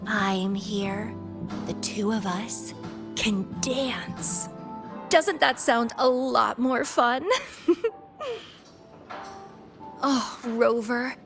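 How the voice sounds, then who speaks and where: A slow, teasing, dangerous temptress. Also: female voice, on the high side. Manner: teasing, theatrical, unhurried. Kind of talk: video game voice acting.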